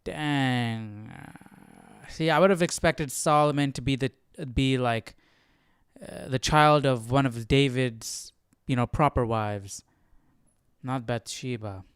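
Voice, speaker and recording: A man hosts a study livestream in a casual, reflective way. His mid-range voice is smooth and clear, recorded very dry. He often questions things as he thinks aloud. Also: mid-range voice, slow pace, dry recording. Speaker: Bible study livestream host.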